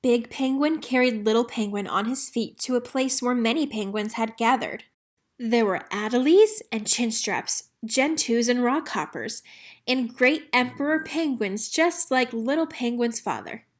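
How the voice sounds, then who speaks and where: A woman narrating a children's story aloud in an expressive read-aloud style. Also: a little high, measured pace. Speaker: storybook reader.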